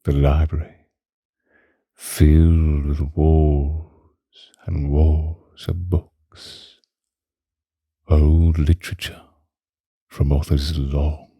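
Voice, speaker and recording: Man as ancient male vampire, ASMR roleplay, rock-bottom pitch, husky breathy murmur, possessive, theatrical, languid, close dry mic. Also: warm tone, no echo, heavily acted. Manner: languid, archaic, theatrical, with lingering pauses. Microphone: close microphone, dry.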